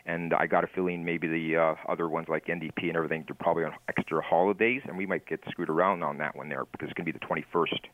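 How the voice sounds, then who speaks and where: A man's voice: low-pitched, fairly even intonation, quick pace, few pauses, no room sound. A man giving his opinions casually as a caller on a phone line. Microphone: telephone. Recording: dull, narrow phone line.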